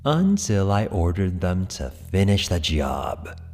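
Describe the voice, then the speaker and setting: A man playing a brooding, manipulative young gang leader, narrating his dark story in a cold, deliberate, dramatic way. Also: low-pitched, very animated, exaggerated voice. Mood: cold, menacing. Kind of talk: scripted dramatic first-person narration. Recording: long reverb, loud music bed.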